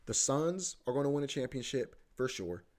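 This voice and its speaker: A man's voice: medium pitch, lively intonation, normal speed, a little mellow. A man hosting a podcast, rambling casually about sports, repeating himself, swearing and saying "bro" a lot. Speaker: podcast host.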